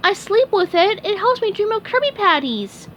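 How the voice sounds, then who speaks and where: A woman puts on a shrill, overexcited young sponge fry cook from a storybook, pitched very high and swooping all over. He sounds eager and breathless with enthusiasm. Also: big pitch swings, normal speed, fairly bright tone, slight background noise, exaggerated voice. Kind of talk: storybook read-aloud.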